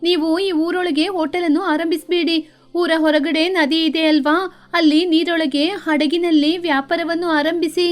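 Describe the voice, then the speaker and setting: A woman voicing a clever, helpful female bird who gives reassuring, practical advice in an animated children's moral story. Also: extremely high pitch, moderate pace.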